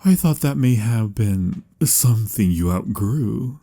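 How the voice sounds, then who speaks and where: A man playing a melancholy, courtly vampire lover in a romantic ASMR audio roleplay, speaking softly in formal, old-fashioned, measured phrases with a breathy, husky voice. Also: lowish voice, expressive, full character voice. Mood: gently formal. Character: melancholy, courtly male vampire lover, patient but pained.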